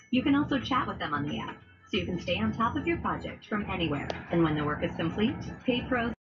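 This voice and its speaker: A woman reading a scripted commercial in a friendly, clear and steady manner. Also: medium pitch, muffled recording. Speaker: ad voice-over.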